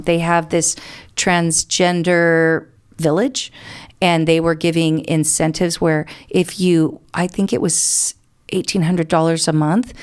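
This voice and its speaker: A middle-aged American woman answering as an interview guest, explaining things earnestly in a conversational way. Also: lowish voice.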